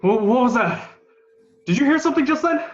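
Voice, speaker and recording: A young man playing a grim, defiant militia survivor of an alien invasion in an amateur radio play, with a folksy, halting, emphatic delivery. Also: very high-pitched, animated, soft background music. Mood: wary, territorial. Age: young adult. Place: room at home. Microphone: video call. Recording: dull, lo-fi video call.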